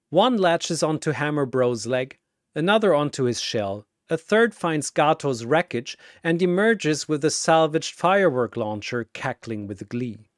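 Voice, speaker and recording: A man's voice: on the high side, no room sound, clean studio sound. A man narrating a scripted action story in a theatrical way, with short suspenseful phrases.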